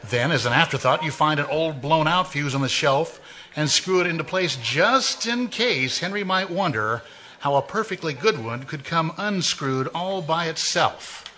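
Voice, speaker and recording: An ominous, all-knowing narrator from a 1940s radio mystery, played by a man. He speaks straight to the listener in an insinuating way. His voice is bright and sits slightly high for a man. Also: lively intonation, normal speed. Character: ominous male narrator who knows dark secrets.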